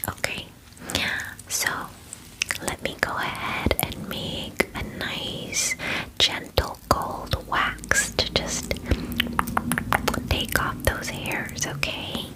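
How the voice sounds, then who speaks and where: A woman voicing a friendly, chatty young female purple dragon in a soft, whispered ASMR fantasy roleplay, with casual, girlish phrasing. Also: drawn-out words, pauses often, low-quality recording. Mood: friendly, soothing.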